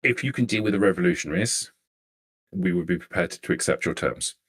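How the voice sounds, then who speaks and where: Man playing a low-voiced, formal vampire noblewoman. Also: natural voice. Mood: guarded, calculating. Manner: formal, measured, deliberate diction. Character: poised, formal female vampire negotiator, coolly menacing. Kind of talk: improvised tabletop roleplay.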